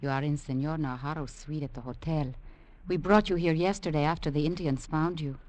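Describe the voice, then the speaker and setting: Actress as a very low-voiced, solemn señorita. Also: female voice, fairly even intonation, a little fast, darkish tone, natural voice. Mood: reassuring. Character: devoted, solemn Latin American woman, gentle and dutiful. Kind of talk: 1940s radio drama acting.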